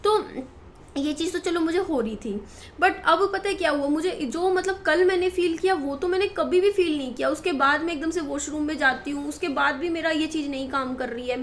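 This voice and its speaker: Woman in her 30s, high voice, worried vlog rambling, lots of restarts, bit of hiss behind. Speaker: vlogger. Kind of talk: personal talk to camera.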